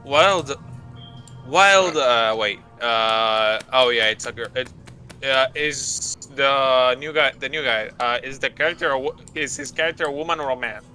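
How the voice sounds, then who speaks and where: A man's voice: mid-range voice, moderate pace, sharp tone, faint music behind. A man chatting as a tabletop player, hesitant and swearing casually. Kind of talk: casual chat at a tabletop game.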